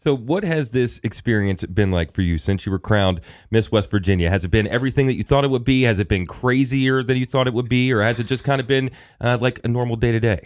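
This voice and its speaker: A man's voice: medium pitch, fast, few pauses, no echo, clean studio sound. A man working as a radio interviewer, asking questions in a casual, chatty way.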